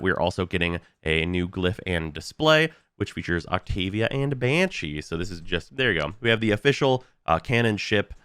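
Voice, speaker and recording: A man's voice: deep voice, fairly bright tone, clean tone, dry recording, studio quality. A young man with a stuffy, nasal voice from a recent illness, casually talking through a game update with frequent fillers. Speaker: gaming video creator.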